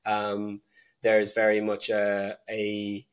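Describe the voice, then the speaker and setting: Low, flat-voiced man. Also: takes it slowly, clear, resonant tone. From Ireland. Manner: hesitant, many restarts and fillers. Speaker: guest. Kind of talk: casual interview talk.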